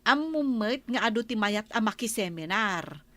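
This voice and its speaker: A woman's voice: on the high side, expressive, normal speed, fairly bright tone, no echo. A woman acting as a chatty, eager village friend in a comedy radio drama, gossipy and urging, with an Ilocano accent.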